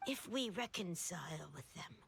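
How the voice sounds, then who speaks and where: A woman's voice: fairly low pitch, lively intonation, moderate pace, gravelly, a bit bright, a little hiss or hum. An actress playing a distrustful woman villager who pleads earnestly with her leader in a game. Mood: wary.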